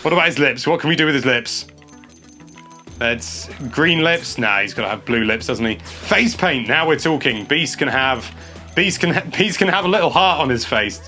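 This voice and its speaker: A young man hosting a casual gameplay commentary, chatty and excitable, stumbling over his words and laughing a lot. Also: medium pitch, normal speed, soft background music. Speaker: games journalist host. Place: small indoor room.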